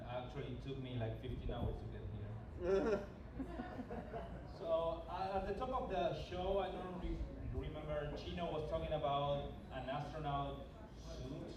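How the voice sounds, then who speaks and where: A man from Argentina doing open mic stand-up in a bar, halting and self-deprecating, muttering asides between jokes. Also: a little high. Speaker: stand-up comedian. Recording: echoey bar from a distance, loud room noise.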